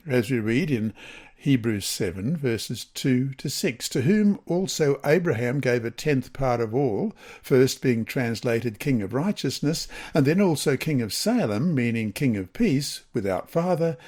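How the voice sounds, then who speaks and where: A man reading a scripted Bible lesson and scripture passages in a measured, deliberate way. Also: medium pitch, darkish tone. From Queensland, Australia. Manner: measured, deliberate read-aloud delivery. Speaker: lesson reader.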